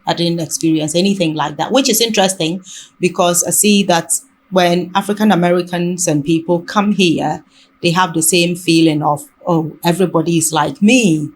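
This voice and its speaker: A woman talking reflectively in conversation, haltingly and often rephrasing mid-sentence. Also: lowish voice, a little hiss or hum. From Ghana.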